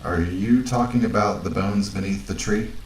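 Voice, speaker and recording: Casting: male actor for calm, literal male crewmate in space drama, low voice, deadpan and succinct, roomy echo. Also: moderate pace, lightly acted. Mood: calm, concerned. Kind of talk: naturalistic audio drama acting. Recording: roomy echo, faint background noise.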